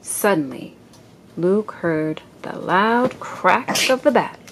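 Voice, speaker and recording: A woman reading a picture book aloud to a child at home and asking instructive questions in a deliberate way. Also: medium pitch, animated, noisy background. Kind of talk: expressive read-aloud and gentle questioning.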